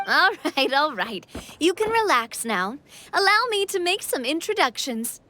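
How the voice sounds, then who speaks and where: An actress playing a confident, playful young woman leader in a video game, with a breezy, expressive manner. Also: high voice, measured pace, a little hiss or hum, exaggerated voice. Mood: amused. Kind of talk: English video game voice acting.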